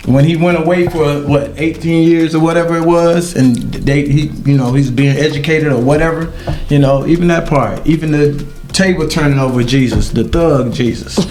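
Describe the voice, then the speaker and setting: A middle-aged man hosting a podcast, talking in a halting, reflective way with many ums and uhs. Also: a little high, steady tone, darkish tone, soft background music. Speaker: podcast host. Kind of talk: casual podcast chat.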